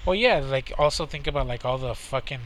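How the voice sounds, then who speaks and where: A man's voice: mid-range voice, a little fast, a bit bright, slight background noise, poor audio. A man in casual, profane podcast banter, joking and mocking. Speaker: podcast co-host. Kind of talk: casual chat.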